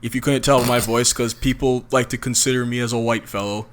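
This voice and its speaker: A young man co-hosting a casual podcast, reacting with quick exclamations and teasing his friend. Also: mid-range voice, flat delivery. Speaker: podcast co-host. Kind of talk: casual chat.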